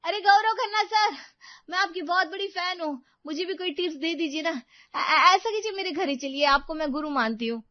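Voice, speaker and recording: A woman voicing an eager, vain and bossy newlywed vlogger in an animated moral story, showy and insistent. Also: very high-pitched, normal speed, no echo, lo-fi sound, voice pushed up. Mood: starstruck.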